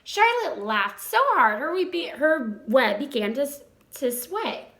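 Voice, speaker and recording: A woman reading a children's story aloud with expressive narration. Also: high-pitched, measured pace. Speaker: storybook reader. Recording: roomy echo.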